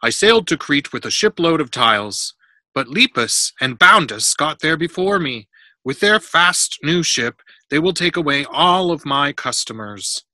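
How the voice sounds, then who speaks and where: A storybook merchant father, read aloud by a man, cautious and in charge. He explains things firmly in a bright, forceful voice with very little room sound. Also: fairly high pitch, expressive, measured pace, no room sound, pitched above the performer's own voice, exaggerated voice. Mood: firm, instructive. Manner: firm, explanatory.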